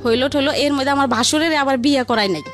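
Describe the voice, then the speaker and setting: A woman's voice: sits high, fast, fairly bright tone, soft background music. A woman telling her life story in a rambling, repetitive, conversational way. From Barisal region, Bangladesh. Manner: rambling, repetitive, colloquial narration.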